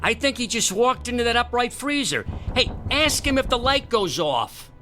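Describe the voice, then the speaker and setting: A touchy, literal-minded repairman in a radio comedy. He is blunt and grumbly with big swings in pitch, and music plays prominently behind him. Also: very animated, normal speed, bright, forceful tone, heavily acted. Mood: puzzled, dry. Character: touchy, blunt, slow-witted repairman guarding his tools. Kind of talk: comic radio drama acting.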